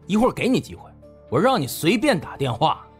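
Man playing an arrogant gangster boss, animated delivery. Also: high voice, brisk, breathy edge, faint music behind, heavily acted. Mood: angry, threatening. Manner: curt, contemptuous, swearing. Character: arrogant, foul-mouthed, impatient gangster boss.

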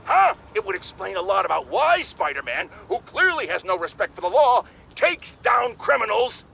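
An actor with an American accent playing an indignant older radio pundit, blustering and accusing in a video game. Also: male voice, high-pitched, big pitch swings, moderate pace, vocal fry, bright, forceful tone, slight background noise, heavily acted, poor audio. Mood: scornful, indignant. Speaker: radio host. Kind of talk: opinionated broadcast rant.

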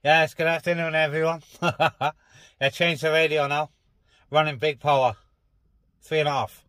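A man's voice: on the high side, fairly even intonation, normal speed, a bit bright, dry recording. A man with a British accent talking casually as a vlogger and CB radio operator. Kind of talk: casual radio chat and vlog talk. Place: parked car on an open moorland car park. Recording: faint background noise.

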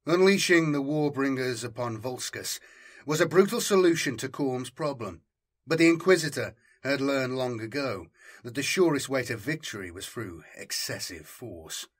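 A man narrating a scripted story as an audiobook, in a measured, solemn and dramatic way. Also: mid-range voice, lively intonation, husky.

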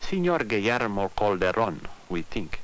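A man narrating an educational film in simple scripted sentences, telling it like a story in measured, deliberate phrases. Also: lowish voice, sing-song, a bit bright, poor audio. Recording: 1960s educational film soundtrack with music. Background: guitar music.

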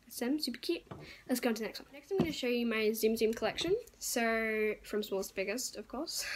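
A woman's voice: a little high, a little fast, slight background noise. A young woman losing her voice, chatting casually and rambling as she shows off her crafts to viewers. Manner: chatty, rambling, lots of fillers and little laughs. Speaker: crafting YouTuber. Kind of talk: casual show-and-tell vlog.

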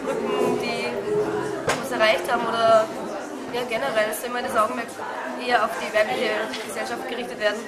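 High-voiced woman, echoey and noisy venue. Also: level tone, a little fast. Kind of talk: hesitant casual interview. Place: indoor venue. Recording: echoey, loud music and crowd. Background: loud music, crowd.

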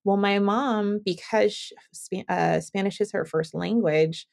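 A woman's voice: medium pitch, measured pace, vocal fry, a little mellow. A woman from Southern California, hosting a podcast and chatting in a rambling, thinking-aloud way. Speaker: podcast host. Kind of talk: casual interview chat.

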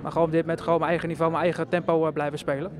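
A man's voice: on the high side, little pitch movement, rapid-fire, crowd noise. A man in his twenties answering a reporter's questions at a bowling centre, confident and plain-spoken. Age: 20s. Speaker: bowling champion interviewed. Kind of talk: casual interview answers.

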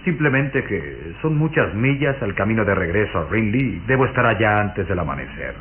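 Sinister courtly count, insinuating, swooping low delivery, muffled old radio serial sound. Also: male voice, big pitch swings, moderate pace, crowd noise. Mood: smooth, courtly. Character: pale suave count, intense, scheming suitor.

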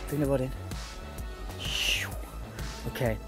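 A man guiding a beginner through fishing by a lake, giving quick, repeated instructions. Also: medium pitch, steady tone, over music. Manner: urgent, repetitive instructions. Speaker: fishing guide. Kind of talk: on-the-spot coaching. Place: outdoors by a lake.

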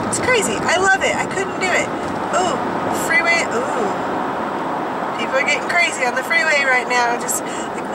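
High, bubbly woman in her forties, rambling car vlog, stuffy nose, road hum underneath. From California. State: sniffly from allergies. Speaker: vlogger. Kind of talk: casual chat while driving. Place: inside a car, driving. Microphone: dashboard camera mic. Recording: road noise behind voice.

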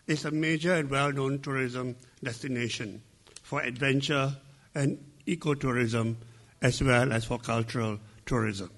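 An older man with a Malaysian accent gives a formal welcome address in a measured, deliberate way at a podium microphone. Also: mid-range voice, a bit bright. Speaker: event host giving welcome speech. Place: indoor conference ballroom.

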